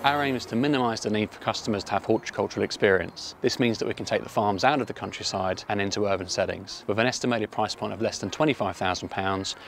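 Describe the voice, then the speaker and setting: A man giving scripted promotional narration as a company spokesman, in a measured presenting manner. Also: lowish voice, vocal fry. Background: music.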